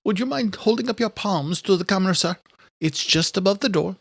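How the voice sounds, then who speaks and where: A man's voice: high voice, animated, fairly quick, vocal fry, no room sound, studio quality, voice pushed up, exaggerated voice. A man voicing a refined, commanding married duchess with a proper, ladylike manner in an audiobook thriller. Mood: polite, welcoming.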